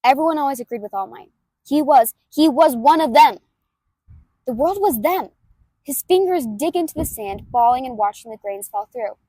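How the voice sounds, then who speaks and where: A woman reading fan fiction aloud as an amateur narrator, expressive and informal. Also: high voice, normal speed, slightly rough, dry recording, poor audio. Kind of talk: expressive story narration and casual asides. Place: outdoors in a park near a road.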